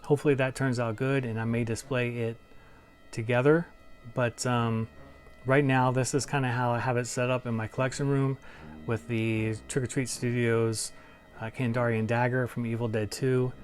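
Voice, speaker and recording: Casual male reviewer, fairly even intonation. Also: mid-range voice, normal speed. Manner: informal, enthusiastic fan talk. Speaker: collectibles review host. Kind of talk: casual chat to viewers. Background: music.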